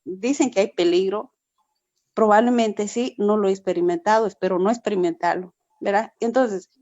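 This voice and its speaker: A woman's voice: mid-range voice, darkish tone, no room sound, muffled recording. A woman from Peru, a pastor, giving an earnest testimony in a forum over a video call, often repeating herself and adding "verdad". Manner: earnest, repetitive, frequent "verdad".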